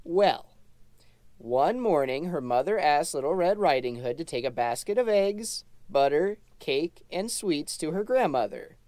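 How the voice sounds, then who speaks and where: Prim puppet dog storyteller, voiced by a woman: unhurried, expressive, easily exasperated, children's show. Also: sits low, dry recording. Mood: patient storytelling.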